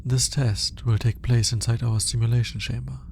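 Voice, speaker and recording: A man playing an alien scientist in an ASMR roleplay, formal and curious, with clinical wording and hesitant, flustered pauses as he awkwardly courts the listener. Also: medium pitch, flat delivery, darkish tone, a little hiss or hum, close to an everyday voice. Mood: awkward, shyly romantic. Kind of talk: ASMR roleplay audio drama, speaking to the listener.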